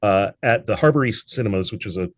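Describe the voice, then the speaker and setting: A man's voice: fairly low pitch, animated, fast, dry recording. Articulate man in a dry-sounding interview. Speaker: interview guest, theatre founder and producer. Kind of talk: conversational interview.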